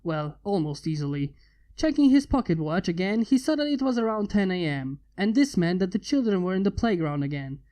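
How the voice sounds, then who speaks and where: A man's voice: high voice, lively intonation, a little fast, warm tone. A man reading a fan fiction story aloud as scripted narration.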